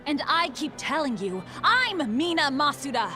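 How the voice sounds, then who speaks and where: A woman's voice: high-pitched, big pitch swings, moderate pace, sharp tone, soft background music, heavily acted. An actress playing an indignant young woman who is refused entry to an event in a game dub. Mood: exasperated. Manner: exasperated, complaining.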